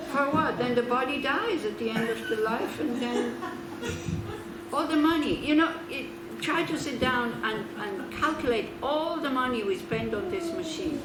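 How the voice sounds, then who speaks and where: A woman's voice: high voice, expressive, measured pace. Woman in a roomy, distant talk. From Switzerland. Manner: chatty, laughing asides, rhetorical questions. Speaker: Buddhist nun giving a talk. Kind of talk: informal teaching talk. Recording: roomy, distant mic, background noise.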